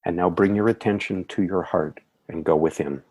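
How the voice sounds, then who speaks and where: A man leading a guided meditation in a soothing, measured way, with calm phrasing and gentle affirmations. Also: deep voice, breathy edge.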